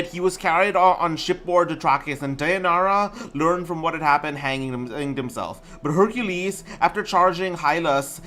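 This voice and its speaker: A man's voice: a little high, sharp tone. A man streaming a game, reading aloud and commenting casually, often stumbling over words and repeating himself.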